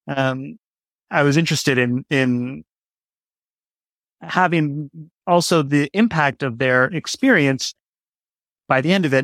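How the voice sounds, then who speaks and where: A man's voice: medium pitch, gravelly, fairly bright tone, no room sound. A man speaking as an interview guest, explaining his creative work in a casual, reflective way. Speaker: interview guest, audio drama writer.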